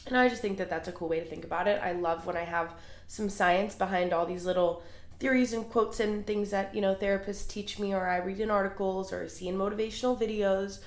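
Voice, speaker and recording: A woman's voice: medium pitch, steady tone, fairly quick. A young woman with a cold and a congested voice, hosting her podcast in a chatty, earnest way. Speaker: podcast host. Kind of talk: casual solo podcast monologue. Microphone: small handheld recorder. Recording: roomy echo.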